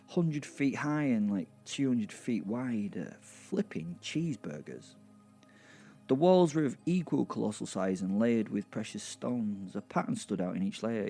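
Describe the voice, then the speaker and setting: A man's voice: on the low side, gravelly, dark tone. A man narrating a first-person comic horror story in a wry, conversational way, leaning on words for emphasis. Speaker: narrator of a serial audio drama.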